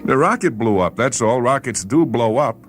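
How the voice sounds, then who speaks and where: A man's voice: medium pitch, lively intonation, normal speed, faint music behind, voice pushed up, close to an everyday voice. A man voicing a doomed spaceman who talks in a resigned, matter-of-fact, clipped way.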